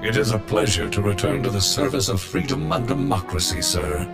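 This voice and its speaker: Gravelly, formal military war droid. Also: male voice, fairly low pitch, sing-song, brisk, loud music behind the voice. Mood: earnest, proud. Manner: stiff, formal, bombastic. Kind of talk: scripted video game acting.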